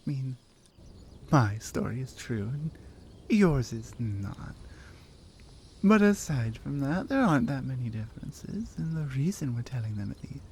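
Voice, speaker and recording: A woman's voice: a bass voice, sing-song, darkish tone, a little hiss or hum, full character voice. A woman playing a strong, teasing Nordic warrior in an ASMR roleplay, with a Nordic accent and a dry, playful, skeptical manner. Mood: playful, candid. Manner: playful, dry, conversational with pauses. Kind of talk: ASMR roleplay audio drama.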